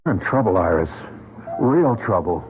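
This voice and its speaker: A man's voice: low voice, very animated, moderate pace. An actor playing a worried man on the run who talks in an urgent, earnest way, in a radio drama. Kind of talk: 1970s radio drama acting. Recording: tinny old radio broadcast with music.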